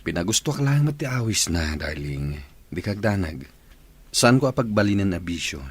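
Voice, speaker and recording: A man's voice: low-pitched, sing-song, moderate pace, husky, full character voice. A man playing an evasive middle-aged husband and father in an Ilocano radio drama, hesitant and placating.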